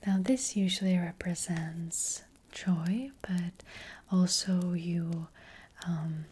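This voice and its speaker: A woman voicing a gentle witch fortune teller in a soft ASMR roleplay, whispering breathily and hesitantly, close to the microphone. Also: fairly low pitch, fairly even intonation, slight background noise. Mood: soft, reassuring. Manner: gentle, hesitant, slow and reassuring.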